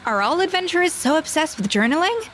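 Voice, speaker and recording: An actress playing a composed, sharp-tongued woman chef with a crisp, cool voice. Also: very high voice, very animated, fast talker, heavy background noise. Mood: calm. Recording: loud game music behind.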